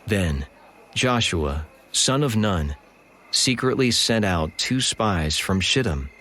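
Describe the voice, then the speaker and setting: Low-voiced male scripture narrator. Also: expressive, takes it slowly, fairly bright tone. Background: music.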